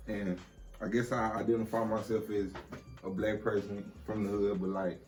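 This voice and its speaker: A young man from Texas introduces himself casually. His voice is low and very flat, a little distant from the mic with faint music behind. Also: normal speed. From Dallas, Texas. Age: young adult student. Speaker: podcast guest.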